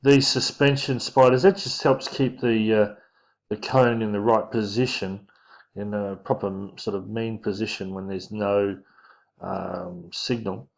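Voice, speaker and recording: A man giving an informal physics lesson, talking through diagrams in a casual, halting way as he thinks aloud. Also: on the low side, flat delivery. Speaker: physics teacher. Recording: dull lo-fi microphone sound.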